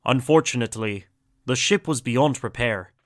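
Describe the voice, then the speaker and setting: A man's voice: medium pitch, moderate pace, dry recording, clean studio sound, lightly acted. A man playing an earnest doctor recounting a story in an audio drama. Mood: earnest storytelling. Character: earnest male doctor and time traveller, recounting.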